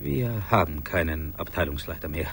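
An actor playing a smug, condescending office colleague in a German radio drama, reasoning and lecturing in a declamatory way. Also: male voice, sits low, animated, normal speed, vocal fry, a bit bright, slight background noise, exaggerated voice. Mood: placating, calm.

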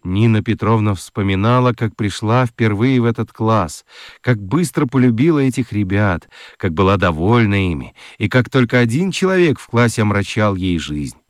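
A man's voice: on the low side, lively intonation, moderate pace, no room sound, clean studio sound. A man narrating a children's radio story expressively from a script.

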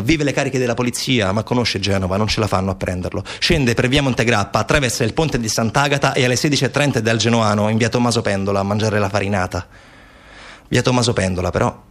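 A male stage actor delivering a dramatic solo narrative, telling it urgently and vividly in short bursts, as if it were happening now. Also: on the low side. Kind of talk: dramatic theatrical narrative monologue.

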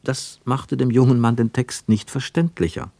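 A man narrating a literary radio play with expressive, scripted storytelling. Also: mid-range voice, normal speed, gravelly, no room sound.

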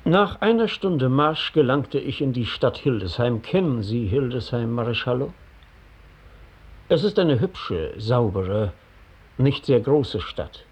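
Husky, reflective male wanderer. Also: medium pitch, big pitch swings, darkish tone, heavily acted. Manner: measured, thoughtful. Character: reflective stranger recounting his journey to police. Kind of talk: radio drama monologue.

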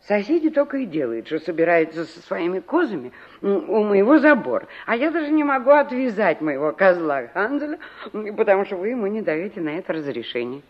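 A woman's voice: mid-range voice, sing-song, brisk. A woman playing a chatty, fussy older village aunt in a radio play, talking garrulously with little hesitant hums. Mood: complaining. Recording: dull old radio drama recording.

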